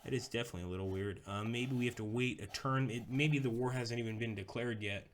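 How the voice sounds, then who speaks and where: A man's voice: mid-range voice, fast talker, slight background noise. Rambling man streaming a strategy game. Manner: rambling, chats with viewers, talks through moves. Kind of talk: casual live-stream game commentary.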